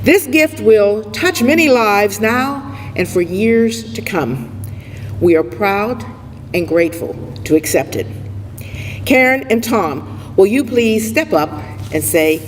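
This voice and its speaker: A woman giving a prepared, formal ceremony speech as an official, with measured and deliberate phrasing. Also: mid-range voice, lively intonation. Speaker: university official introducing donors. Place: large room, public event. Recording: echoey hall with background noise.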